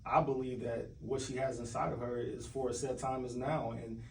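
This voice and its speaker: A man's voice: medium pitch, level tone, normal speed, gravelly, darkish tone. A man speaking as an interview guest in an earnest, reflective and informal way. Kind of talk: casual conversational interview. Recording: roomy, distant mic, faint background noise.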